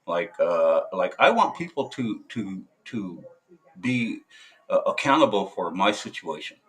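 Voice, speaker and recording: A veteran man talking to camera in a casual monologue, halting and hesitant, full of filler sounds and restarts, blunt and profane. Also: low-pitched, sing-song, fairly bright tone, a little hiss or hum, poor audio. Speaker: military veteran.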